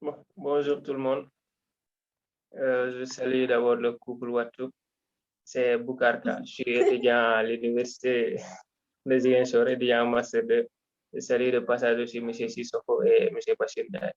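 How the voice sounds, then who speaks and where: Male student, 20s, monotone, formal, dull line. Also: medium pitch, normal speed. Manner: formal, greets everyone. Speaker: master's student. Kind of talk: formal greeting in online meeting. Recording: muffled call audio.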